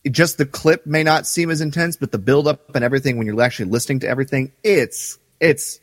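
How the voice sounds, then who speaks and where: A man co-hosting a podcast in casual discussion, halting as he goes and repeating words for emphasis. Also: medium pitch, no echo, studio quality, in a large hall. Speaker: podcast co-host.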